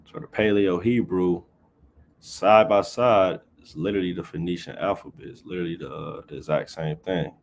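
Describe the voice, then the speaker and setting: Guy with a really deep voice doing a casual bible lesson, keeps saying right?. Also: gravelly, darkish tone, slight background noise. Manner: tags nearly every phrase with "right?"; reads verses aloud then explains them conversationally. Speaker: Bible teacher. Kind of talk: informal scripture teaching on a livestream.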